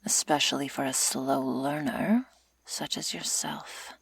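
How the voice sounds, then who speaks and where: A woman voicing a sadistic, mockingly tender female werewolf in a breathy, husky, deliberate whisper for an ASMR roleplay, close to the microphone. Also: rumbling low, steady tone, full character voice. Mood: cold, menacing. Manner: slow, taunting, deliberate delivery with sighs.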